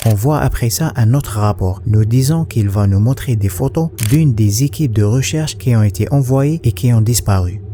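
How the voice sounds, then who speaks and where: A man's voice: medium pitch, moderate pace, hardly any pauses. Male narrator, mid-range voice, scripted horror-explainer read, noticeable noise behind him. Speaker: video narrator.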